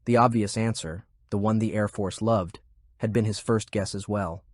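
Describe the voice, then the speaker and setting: A man reading scripted fiction narration in a measured, deliberate way. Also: on the low side, steady tone, no room sound, clean studio sound. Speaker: audiobook narrator. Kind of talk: scripted narration of a fantasy war novel.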